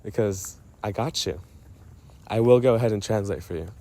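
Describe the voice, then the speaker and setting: A man's voice: lowish voice, normal speed, warm tone. Man, casual rambling monologue, self-interrupting, playful, self-mocking, personal voice memo. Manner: digressive, often interrupting himself and losing his thread. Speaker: storyteller recording a personal voice memo.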